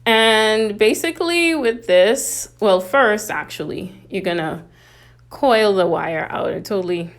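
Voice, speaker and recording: A woman's voice: mid-range voice, expressive, slow. A woman from the Bahamas with a Bahamian accent hosts a casual, chatty how-to craft tutorial, talking through each step.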